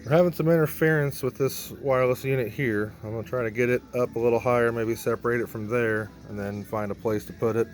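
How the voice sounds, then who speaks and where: A man's voice: medium pitch, steady tone, fast, few pauses. A man narrating a hands-on gear vlog in a casual, informal way, thinking aloud as he works. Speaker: band member showing a PA rack rebuild.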